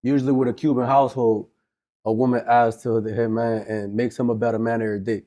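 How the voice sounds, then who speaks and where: A man's voice: medium pitch, little pitch movement, fast, dark tone. A man from Cuba with a New Orleans accent, a guest speaking confidently in a casual interview and dropping in Spanish phrases. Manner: confident, matter-of-fact, drops Spanish phrases.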